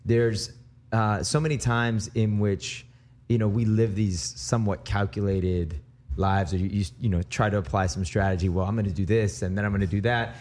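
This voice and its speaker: A man hosting a radio interview in a studio, upbeat and chatty with quick restarts. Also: lowish voice, steady tone, darkish tone.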